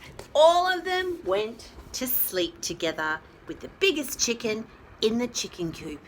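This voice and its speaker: A woman's voice: a little high, sing-song, a little slow, a little hiss or hum. A woman reading a picture book expressively to young children and explaining things simply. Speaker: children's storyteller.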